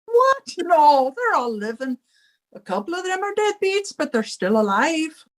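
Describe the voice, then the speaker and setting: Piercingly high, cheery landlady. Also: sing-song, unhurried. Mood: indignant. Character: cheery, affectionate landlady in her apartment house, middle-aged woman. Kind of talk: 1950s radio comedy acting.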